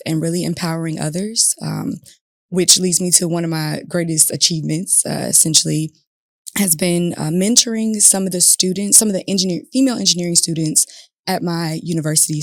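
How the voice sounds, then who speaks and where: A woman's voice: fairly low pitch, fairly even intonation, fairly quick, no echo, clean studio sound. A woman speaking as a guest in a casual podcast conversation, earnest and sprinkled with ums and uhs. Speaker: guest, business development manager.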